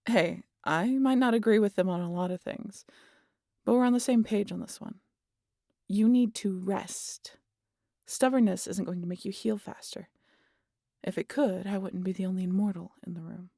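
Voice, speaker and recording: A woman's voice: mid-range voice, moderate pace, vocal fry, mellow, no echo. A woman playing a teasing, sardonic immortal vampire in an audio roleplay, scolding in a dry, casual, sweary way with protective concern. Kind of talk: intimate audio roleplay acting.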